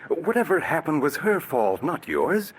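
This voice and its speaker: A silky, husky blackmailer. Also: male voice, a little high, big pitch swings, measured pace, heavily acted. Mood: wheedling. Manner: silky, calculating, menacing. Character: scheming male blackmailer, smooth and menacing. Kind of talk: 1940s radio acting. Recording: old radio broadcast recording.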